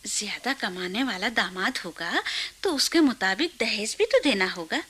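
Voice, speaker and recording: A woman's voice: medium pitch, expressive, normal speed, pushed and bright, husky. An actress playing an anxious, nagging middle-aged wife and mother in a radio drama, questioning and reproachful. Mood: nagging, concerned.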